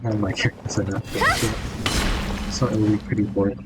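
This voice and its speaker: A man's voice: lowish voice, fairly even intonation, brisk, very noisy. A man giving casual, laid-back game commentary, thinking aloud as he plays. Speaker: gamer, video creator. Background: loud game music.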